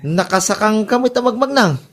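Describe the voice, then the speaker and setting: A man's voice: high voice, expressive, a little fast, fairly bright tone. A man with an Ilocano accent playing a teasing buddy who chides his friend in a comedy radio drama. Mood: amused chiding.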